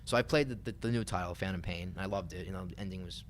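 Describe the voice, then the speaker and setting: Young american dude, talks super fast, kinda low voice, casual podcast chat. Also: male voice, slight background noise. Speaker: podcast co-host. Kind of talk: casual chat about video games and pop culture.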